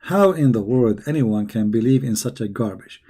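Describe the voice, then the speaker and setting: Hoarse, sarcastic man mocking a story. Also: medium pitch, animated, normal speed, dark tone. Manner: sarcastic, scornful. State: hoarse, losing his voice. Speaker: religious critic. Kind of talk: mocking video commentary.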